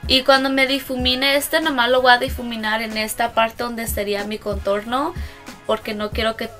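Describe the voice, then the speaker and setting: A young woman with a bright, slightly high voice talks through a casual makeup tutorial in a small room, speaking to a camera close to her face. She is a native English speaker who hesitates, corrects herself and slips English words in. Faint music plays underneath. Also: level tone, moderate pace. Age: young adult. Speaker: beauty vlogger.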